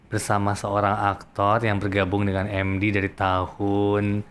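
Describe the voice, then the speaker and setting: A man's voice: fairly low pitch, completely flat delivery, a little slow, fairly bright tone. A man hosting a casual interview, asking questions informally and mixing English into his talk. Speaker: interview host. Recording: background ambient noise.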